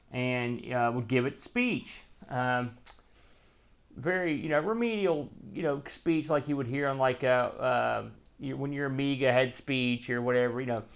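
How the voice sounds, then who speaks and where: A man's voice: medium pitch, a bit bright. A man hosting a retro computer show, giving casual, rambling unboxing commentary and half talking to himself as he handles items.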